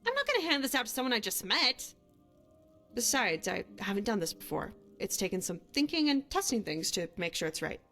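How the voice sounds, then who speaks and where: A woman's voice: on the high side, very animated, brisk, sharp tone, heavily acted. An actress voicing a young woman adventurer and hunter, a guilt-haunted but bold companion in a fantasy game, speaking in a natural, conversational way. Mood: casual, coaxing. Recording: music under the voice.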